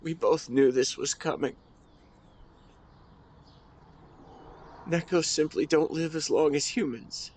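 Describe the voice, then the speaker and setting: A man playing a grieving, tender husband in an intimate audio drama monologue, speaking haltingly and earnestly to his late wife. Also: a little high, a little mellow, natural voice. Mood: sad, resolute. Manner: halting, earnest, emotional delivery with pauses. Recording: outdoor ambience with birdsong behind. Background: birdsong and nature ambience.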